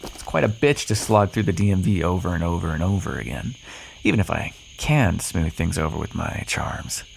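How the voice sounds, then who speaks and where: A man's voice: deep voice, very animated, normal speed, gravelly, slight background noise, heavily acted, rough recording. A man playing a cocky, flirtatious, sardonic vampire in an ASMR roleplay, teasing the listener with pet names, sarcasm and swearing. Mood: sardonic, amused.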